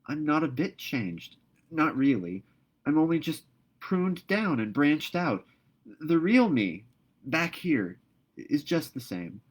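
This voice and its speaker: A tender teenage girl from a classic storybook, acted out by a man reading aloud. The pitch sits well above the reader's own. The delivery is gentle, earnest and emphatic.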